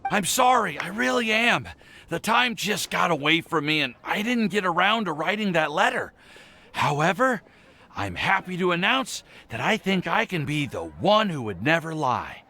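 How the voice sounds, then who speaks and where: A man's voice: high voice, very animated, moderate pace, bright, forceful tone, exaggerated voice. A man playing an earnest, flustered young alchemist in a video game, hesitant but formal in his wording. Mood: flustered, apologetic. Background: faint game music.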